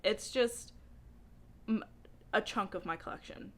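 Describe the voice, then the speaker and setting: A woman talking in a casual, chatty book vlog style, with a giggly, animated and informal, rambling manner. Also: mid-range voice, unhurried. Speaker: book reviewer vlogger.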